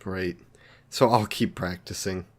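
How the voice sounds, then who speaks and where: A man's voice: low voice, fairly even intonation, unhurried. A man playing an awkward, lonely, considerate villain in an intimate roleplay drama, speaking in a hesitant, apologetic, polite way. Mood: eager, awkward.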